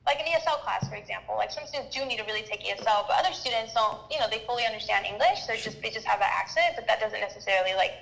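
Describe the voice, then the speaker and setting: A woman's voice: medium pitch, animated, very fast, creaky, hardly any pauses, fairly bright tone, soft background music, rough recording. A young woman teacher whose first language is Spanish, talking casually as an interview guest and filling her speech with "like" and "you know". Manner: chatty, full of "like" and "you know".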